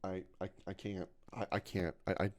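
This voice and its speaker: A man's voice: sits low, level tone, normal speed. Rambling, glum man in his thirties. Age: 30s. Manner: rambling and repetitive, stumbles over words; glum and self-deprecating. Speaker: game streamer. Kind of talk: casual livestream chat with viewers.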